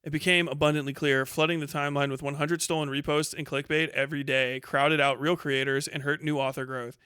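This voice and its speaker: A man's voice: a little high, flat delivery, fairly quick, bright, forceful tone, clean tone, dry recording, clean studio sound. A man hosting a livestream with casual reaction commentary, talking and reading aloud in a blunt, mocking, profane way. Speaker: livestream host.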